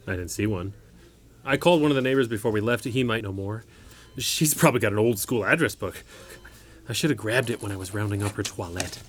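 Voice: mid-range voice, fairly quick, natural voice. An actor in an audio comedy-drama playing an earnest, quirky, chatty neighbor who rambles naively and startles easily. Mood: helpful, joking. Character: earnest, quirky, chatty neighbor accompanying an injured older woman.

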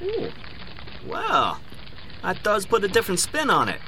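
An actor playing an evasive, bumbling city mayor in a comedic audio drama, hedging in folksy bureaucratic patter, with background noise. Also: male voice, high voice, wildly swooping pitch, a little slow, exaggerated voice. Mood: matter-of-fact, hedging. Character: evasive, bumbling male big-city mayor at press conference.

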